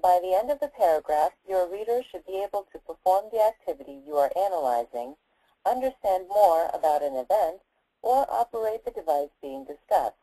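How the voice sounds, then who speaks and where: A woman reads formal educational narration with a very muted, dark tone. Her intonation is lively yet measured, and the sound is dry, as video playback into a call. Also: lowish voice, no room sound, rough recording.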